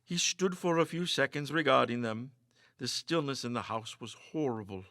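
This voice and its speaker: A man delivers suspenseful, theatrical story narration. His voice sits at a medium pitch with a sharp, forceful tone. The sound is close and dry. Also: normal speed, dry recording. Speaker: story reader. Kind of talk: dramatic scripted narration of a ghost story.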